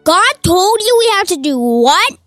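A very high, sing-song voice for a dopey, easygoing Israelite wanderer in a radio comedy sketch. He drawls slowly, swooping up and down, with music playing under him. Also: very animated, sharp tone, no room sound, full character voice. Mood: puzzled. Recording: music bed behind voice.

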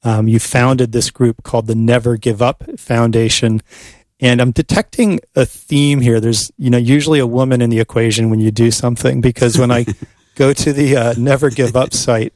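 A man hosting a radio show, talking easily with his studio guests and filling his sentences with ums and restarts. His voice is of medium pitch and sounds close and dry. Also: fairly even intonation, normal speed, a little mellow, dry recording. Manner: conversational, many fillers and restarts. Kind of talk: radio interview.

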